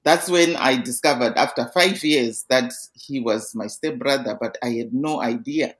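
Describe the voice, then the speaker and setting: A woman's voice: rumbling low, normal speed. Earnest middle-aged Zimbabwean woman. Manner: earnest, steady storytelling with small restarts. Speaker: interview guest. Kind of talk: personal life story in a podcast interview.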